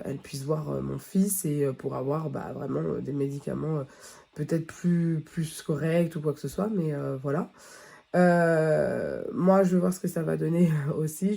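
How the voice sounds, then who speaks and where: Casting note: woman vlogger from France, stuffy cold, gravelly mellow voice, rambling fillers. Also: sits low. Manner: rambling, many fillers and restarts. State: congested with a head cold. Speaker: beauty vlogger. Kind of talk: casual chat to camera. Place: at home, early morning.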